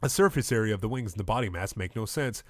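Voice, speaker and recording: A man playing a pompous, contemptuous older lawyer, the villain of an animated film, speaking in an orotund way. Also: lowish voice, quick pace, no room sound, studio quality. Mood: lecturing.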